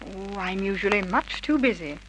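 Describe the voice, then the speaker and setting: An actress playing a pert, teasing young secretary, polite and playful, in an old radio drama. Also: female voice, mid-range voice, lively intonation, normal speed, natural voice. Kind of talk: 1950s radio drama acting. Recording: old radio recording, faint noise.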